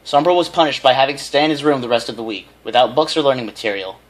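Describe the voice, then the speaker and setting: A man's voice: medium pitch, racing, rough recording. Man stumbling through a story read aloud. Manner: stumbling, often repeating phrases. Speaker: amateur fanfiction reader. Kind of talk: reading a story aloud with character voices.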